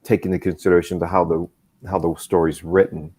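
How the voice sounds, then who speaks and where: Male co-host, low and mellow, loose rambling chat. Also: level tone, husky. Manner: unhurried, rambling, many fillers. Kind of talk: casual chat.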